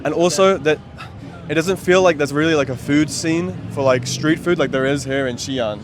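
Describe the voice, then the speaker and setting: A man's voice: fairly high pitch, normal speed, music in the background. A young man, a tourist, talking casually and bluntly in a street interview. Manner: relaxed and opinionated. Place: city street outdoors.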